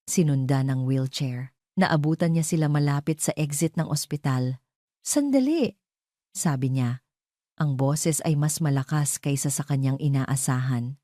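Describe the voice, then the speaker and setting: A woman's voice: rumbling low, steady tone, moderate pace, warm tone, no echo, clean studio sound. A Filipino woman reading a scripted romance story as a dramatic narrator.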